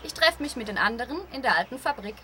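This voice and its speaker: A woman's voice: on the high side, lively intonation, fast, pushed and bright. A woman playing a cheeky, rebellious and opinionated schoolgirl daughter in a scripted radio play. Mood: cheeky, defiant. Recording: clear background noise and ambience.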